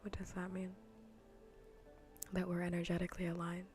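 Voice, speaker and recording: A woman streamer chatting playfully and casually in a soft, breathy, often near-whispered ASMR style, close to the microphone. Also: lowish voice, monotone, normal speed, soft background music. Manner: playful, rambling, half-whispered casual talk. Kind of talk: soft-spoken ASMR gaming livestream chat.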